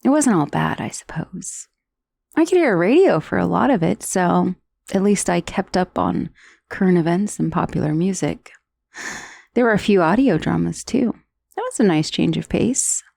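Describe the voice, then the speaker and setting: A woman's voice: fairly low pitch, lively intonation, moderate pace, creaky, a little mellow, no room sound. A woman playing an undead dullahan's severed head in an ASMR roleplay, conversational and dryly sardonic, menacing yet wistful, with sighs and hesitations. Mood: dry, mock threatening.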